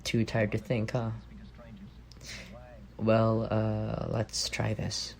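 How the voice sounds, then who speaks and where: Male actor as tender, playful roommate secretly in love, intimate ASMR roleplay; low register with plenty of fry. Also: completely flat delivery, extremely slow, heavily acted. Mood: tender, drowsy. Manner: playful, gently teasing, tender. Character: affectionate, teasing young roommate quietly in love.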